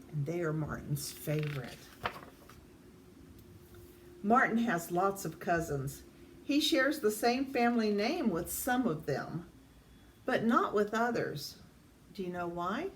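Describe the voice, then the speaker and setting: An older woman reads a children's picture book aloud in a deliberate way, addressing young listeners. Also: mid-range voice. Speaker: storytime reader. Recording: distant mic, faint background noise.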